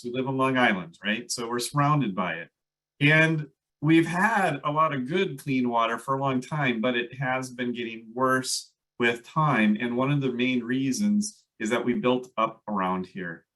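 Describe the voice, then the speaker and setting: Man with a Minnesota accent, bright voice. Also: medium pitch, recorded from a distance, poor audio. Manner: loose, hesitant, many fillers. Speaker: landscape ecologist presenter. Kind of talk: online workshop presentation. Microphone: video call.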